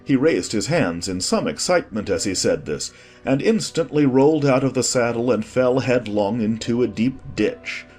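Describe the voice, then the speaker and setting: A man's voice: medium pitch, animated, normal speed, a little mellow, faint music behind. A man narrating a storybook as a scripted audiobook.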